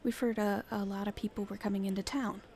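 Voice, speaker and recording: A woman playing a cheeky young street thief in an ASMR roleplay, chatting casually and haltingly to the listener. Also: mid-range voice, flat delivery, dark tone, a little hiss or hum, natural voice. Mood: hesitant, evasive. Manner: casual, halting speech with hesitations.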